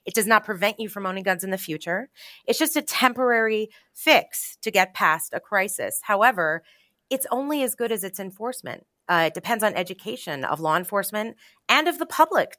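A woman speaking as a journalist guest in an interview, giving measured, analytical explanations. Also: medium pitch, expressive, fairly bright tone, dry recording, studio quality. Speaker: interview guest, journalist covering gun violence. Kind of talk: explanatory radio interview answers.

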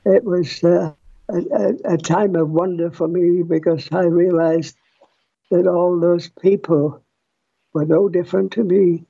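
A man in his nineties from Britain, speaking gravely and haltingly in a clip played from his podcast. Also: sits high, steady tone, warm tone, muffled recording. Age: 90s. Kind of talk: solemn appeal.

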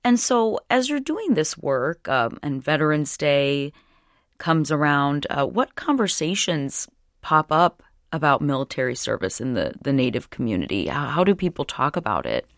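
Lowish, lively-voiced woman interviewer. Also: normal speed, no echo, clean studio sound. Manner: attentive, frequent listening murmurs. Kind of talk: conversational radio interview.

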